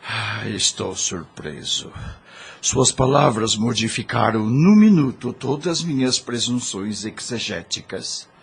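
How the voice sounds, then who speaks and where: A slow-speaking, fairly low-voiced gentleman played by a man in an audio drama. His husky voice is earnest and formal, with wide, expressive intonation. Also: full character voice. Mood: astonished, moved. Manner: formal, ponderous. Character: earnest gentleman, humbled and moved by faith.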